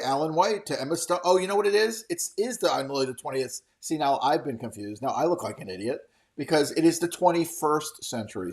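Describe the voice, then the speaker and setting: Middle-aged male host for off-the-cuff trade news commentary, opinionated and rambling, mid-pitched voice, comfortable with casual swearing.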